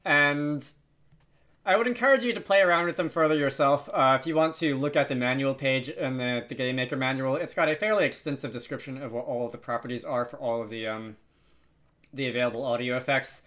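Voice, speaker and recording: A man's voice: medium pitch, brisk, fairly bright tone. A man presenting an unscripted game development tutorial in a casual, hesitant, rambling and self-deprecating way.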